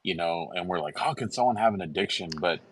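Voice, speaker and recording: Low-voiced, thoughtful man. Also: lively intonation, fast, a bit bright, husky, no room sound. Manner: hesitant, thoughtful. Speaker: speaker. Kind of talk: casual chat.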